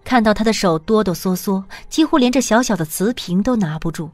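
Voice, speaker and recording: A woman narrating an audio drama in an even, measured storytelling voice. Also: mid-range voice, no room sound, soft background music.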